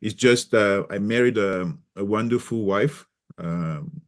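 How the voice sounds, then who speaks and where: A man with a lowish voice and a mixed French and Cameroonian accent. He is a native French speaker from Cameroon, telling his story as a guest in a relaxed chat. He hesitates often and restarts his sentences as he searches for words. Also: unhurried. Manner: hesitant, many fillers and restarts. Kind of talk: casual interview chat.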